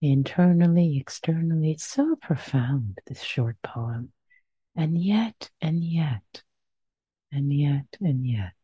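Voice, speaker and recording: Woman, Persian accent, meditation teacher, soft-spoken, low pitch, dry muffled recording. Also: animated, slightly rough, no room sound. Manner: soft-spoken, pausing, with frequent fillers. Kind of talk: guided reflection after meditation. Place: meditation center hall.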